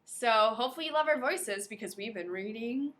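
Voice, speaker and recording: Woman, podcast co-host, bright forceful tone, higher pitch, playful, casual read-aloud and banter. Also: animated, brisk. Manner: playful, self-correcting. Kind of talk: casual banter and amateur reading aloud of a novel.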